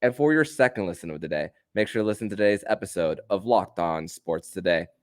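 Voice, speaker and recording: A man hosting a sports podcast in a conversational way, sometimes halting and stammering as he restarts his phrases. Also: sits low, animated, dry recording, clean studio sound.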